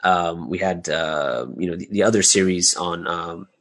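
Male interview guest, lower-pitched voice, conversational and chatty delivery, peppered with small check-in tags. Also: steady tone, normal speed, a bit bright. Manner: chatty, often adds "right?" and "you know". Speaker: interview guest, audio drama creator.

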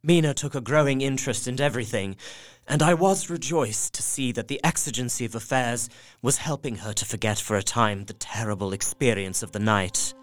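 A man's voice: medium pitch, fairly quick, natural voice. A man playing an anxious young Victorian husband, earnestly narrating his journal. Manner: earnest, worried, emotional. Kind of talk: radio drama journal narration. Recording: faint music behind.

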